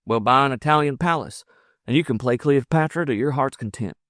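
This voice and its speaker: A man reading as a bold, lovestruck miner from a frontier storybook. He pushes his words out with emphasis, by turns combative and coaxing, in a medium-pitched voice recorded dry and close. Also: fairly quick, a bit bright, no room sound, natural voice. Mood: excited, boastful. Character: belligerent, ardent gold-rush miner in love, man. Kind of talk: audiobook character dialogue.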